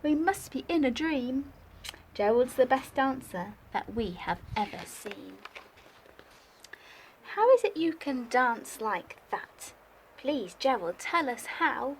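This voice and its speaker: Woman as brash, high-pitched jungle animals. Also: sing-song, takes it slowly, slight background noise, exaggerated voice, rough recording. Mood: amazed. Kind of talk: children's picture-book character reading.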